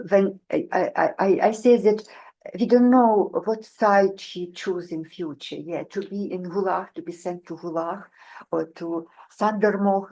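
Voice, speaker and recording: Halting woman scholar, searching for words. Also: fairly low pitch, darkish tone, low-quality recording. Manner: halting, searches for words, non-native English grammar. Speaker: panelist, literary scholar. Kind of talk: panel discussion answer.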